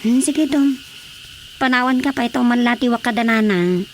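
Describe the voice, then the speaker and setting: A woman's voice: fairly high pitch, moderate pace, close to an everyday voice. A woman playing a curious, easily astonished character in a radio comedy drama, questioning and exclaiming in an Ilocano accent. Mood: concerned. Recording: faint background noise.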